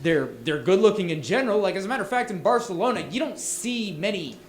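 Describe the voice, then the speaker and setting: Texan male comic, high voice, punchy and loud, stumbles over restarts, echoey room. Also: expressive, fast. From Texas, USA. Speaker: stand-up comic. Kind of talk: stand-up set. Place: small bar venue.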